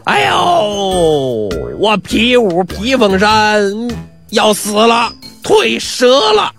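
Man acting a shameless scheming ruffian, cracked bawling voice, high, drawn-out whining, theatrical grievance, storytelling. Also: fairly bright tone, faint music behind. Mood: wailing in feigned pain. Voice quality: cracked, broken-gong bawling voice. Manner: drawn-out whining and indignant complaint. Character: shameless scheming male ruffian thief, theatrically wailing and bullying.

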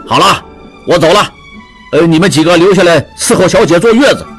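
A man's voice: sits high, animated, a little fast, a bit bright, breathy edge, soft background music, pitched above the performer's own voice, exaggerated voice. Man doing a blustering old father-in-law. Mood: flustered.

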